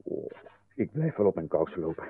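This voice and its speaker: Een nerveuze, overbeleefde gastheer. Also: male voice, deep voice, sing-song, brisk, breathy edge, full character voice. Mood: timid. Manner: hesitant, timid, obliging. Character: nervous, overly polite male host. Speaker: radio drama actor. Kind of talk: 1990s radio play acting. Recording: tinny narrow-band radio with faint hiss.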